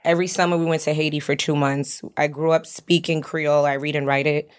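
Lively new woman chatting on a podcast, lowish voice, acts out little bits. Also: fairly quick, smooth. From Long Island, New York. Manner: animated storyteller who acts out quoted voices. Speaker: podcast guest, actress. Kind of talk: casual chat.

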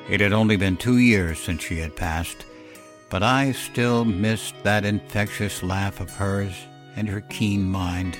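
Low-voiced man narrating a solemn story. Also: fairly even intonation. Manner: measured, solemn, reflective storytelling. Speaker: narrator of a horror fiction podcast. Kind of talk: scripted first-person story narration. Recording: music behind the voice. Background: clear music bed.

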